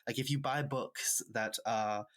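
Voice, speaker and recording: A woman's voice: rumbling low, fairly even intonation, a little slow. A Welsh woman whose first language is Welsh, a guest author telling reflective personal stories in a casual podcast chat. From Wales. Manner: reflective, storytelling, with light laughs.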